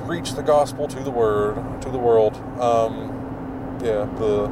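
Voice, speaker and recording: A man hosting his own podcast, with a deep, mumbling voice, rambling through plugs with lots of fillers while he drives. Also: slow pace. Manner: rambling, filler-heavy, self-deprecating. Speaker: podcast host. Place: in a car while driving. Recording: distant mic with road noise.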